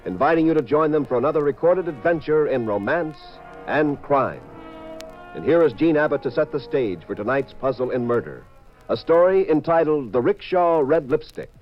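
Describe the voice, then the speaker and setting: Vintage network announcer, man, higher-set voice, formal promotional read, dull old recording over music. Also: lively intonation, normal speed. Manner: polished, promotional. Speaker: radio announcer. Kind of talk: formal 1950s radio announcing. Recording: muffled vintage 1950s radio recording with music.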